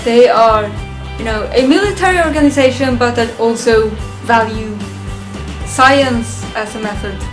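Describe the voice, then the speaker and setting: A young woman talking casually and chattily to her viewers as a video reviewer, giving commentary on a list. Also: a little high. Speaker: YouTube reviewer.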